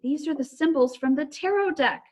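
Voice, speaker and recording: A woman voicing a sharp-eyed, eager storybook girl with an urgent, emphatic way of speaking. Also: high-pitched, moderate pace, pitched above the performer's own voice, close to an everyday voice.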